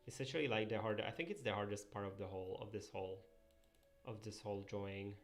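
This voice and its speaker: A man's voice: fairly low pitch, fast, faint music behind. A young man whose first language is Italian, casually thinking aloud and answering live chat while he draws pixel art. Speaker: streamer drawing pixel art.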